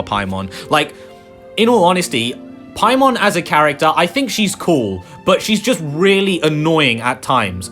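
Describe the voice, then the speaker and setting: A man's voice: medium pitch, sharp tone. A man giving casual, rambling gameplay commentary, full of fillers. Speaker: gaming youtuber.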